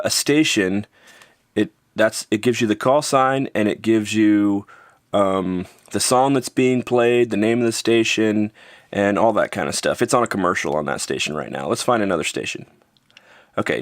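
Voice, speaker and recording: A man with a North American accent talks through a gadget review in a fairly low voice. His delivery is casual and offhand, with a wry, informal touch. The sound is dry, with very little room in it. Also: dry recording. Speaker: product reviewer.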